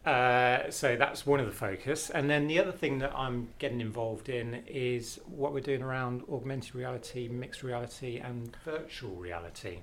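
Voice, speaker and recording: A man's voice: medium pitch, normal speed, hardly any pauses, a little hiss or hum. A man on a podcast, explaining technology in a casual way and repeating himself as he goes. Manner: explanatory, repeats himself, says yeah a lot.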